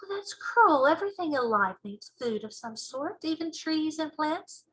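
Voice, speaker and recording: Woman as a bright, high-voiced curious girl. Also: very animated, normal speed, rough recording. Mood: excited, concerned. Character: curious, excitable, polite young girl.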